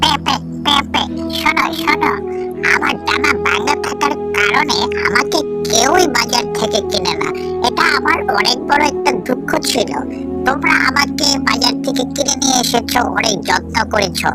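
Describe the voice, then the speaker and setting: High-voiced magical goose, played by a woman. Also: expressive, normal speed, loud music behind the voice. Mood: grateful, earnest. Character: grateful magical talking goose with a broken wing. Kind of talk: children's cartoon voice acting.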